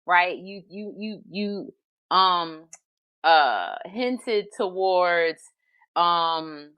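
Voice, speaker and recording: A woman's voice: fairly low pitch, very slow, clear, resonant tone, lo-fi sound. A woman hosting a podcast, talking in a hesitant way with many fillers and restarts. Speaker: podcast host. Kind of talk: casual conversation.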